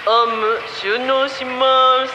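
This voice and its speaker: An actress in a radio drama playing a naive, self-pleased, childlike trainee with cute drawn-out phrasing. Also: female voice, sits high, steady tone, reverberant, highs cut off, heavy background noise. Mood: cheerful, bashfully proud. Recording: loud vehicle-like ambience.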